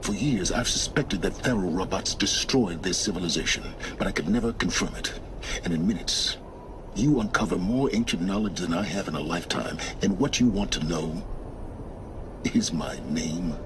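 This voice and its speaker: An actor playing a haughty, secretive older researcher of ancient ruins in a video game, speaking in a deliberate, scolding way. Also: male voice, deep voice, noisy background. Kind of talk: video game cutscene acting.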